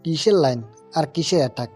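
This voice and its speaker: A man's voice: on the high side, expressive, fairly quick, darkish tone, soft background music. A man playing a gang henchman in an audio story. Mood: challenging. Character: male gang henchman, threatening, cowardly when cornered. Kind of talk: Bengali audio story acting.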